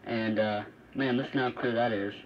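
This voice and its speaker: A man's voice: medium pitch, steady tone, moderate pace, muffled recording. A man presenting a casual hands-on hobby video, rambling and thinking aloud as he works, recorded on a phone. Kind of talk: casual hands-on radio demonstration. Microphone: phone camera at varying distance. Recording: noisy phone recording with radio static. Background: shortwave radio noise and static.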